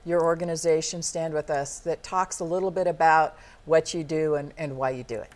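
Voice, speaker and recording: A woman's voice: low voice, brisk. A woman hosting a TV interview show, asking questions in a friendly way and backing the guest with frequent "mm-hmm". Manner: friendly, with steady "mm-hmm" backchannels. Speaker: TV show host. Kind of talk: TV studio interview.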